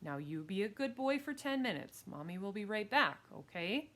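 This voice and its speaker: A woman's voice: mid-range voice, big pitch swings, moderate pace, fairly bright tone, pitched above the performer's own voice. A woman voicing a harried, affectionate, anxious mother in a children's audiobook, fretful and coaxing.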